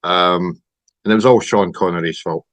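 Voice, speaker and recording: A middle-aged Scottish man telling his personal story on a podcast over a remote call, in a reflective, halting way with many pauses and ums. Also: sits low, fairly even intonation, fairly bright tone. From Scotland. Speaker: podcast guest.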